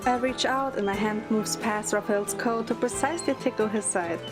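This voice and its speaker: Higher-pitched young heroine narrating, acted by a woman, over music. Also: moderate pace, close to an everyday voice. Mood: gentle narration. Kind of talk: narrated audio drama, first-person storytelling. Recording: music under the voice. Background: background music.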